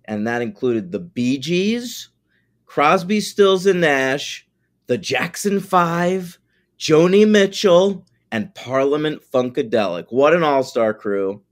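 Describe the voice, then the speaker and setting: A man's voice: a little high, animated, takes it slowly. A man co-hosting a podcast, talking casually and animatedly, joking and swearing. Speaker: podcast co-host.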